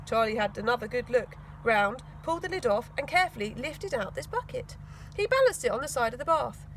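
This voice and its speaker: Englishwoman, children's storybook narration, high-pitched, highly animated, dramatic emphasis, background noise. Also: brisk. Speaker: school teaching assistant reading a children's book.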